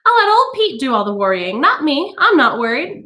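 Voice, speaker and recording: A breezy, self-absorbed society wife from a storybook, put on by a woman reader. She pitches her voice very high and chatters on flippantly, repeating herself. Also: big pitch swings, moderate pace, pushed and bright, full character voice. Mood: carefree, giggly.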